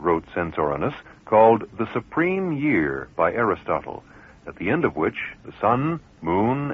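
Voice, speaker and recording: A man reading scripted documentary narration from books in a formal, declamatory manner, with a husky voice. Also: fairly low pitch. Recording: tinny, band-limited radio recording.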